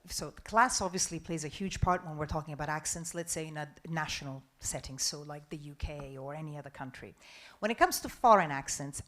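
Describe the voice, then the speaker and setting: A middle-aged Italian-born woman journalist with a mixed Italian-English accent, answering audience questions in a chatty, animated way. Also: low-pitched. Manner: chatty, animated, quick asides with restarts. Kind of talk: panel Q&A talk.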